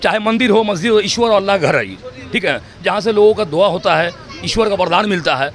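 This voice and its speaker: A man's voice: sits high, lively intonation, fast talker. A man with a Bihari accent in a street interview, speaking formally and emphatically, piling up synonyms. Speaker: local resident interviewed. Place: outdoor street. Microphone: handheld reporter microphone.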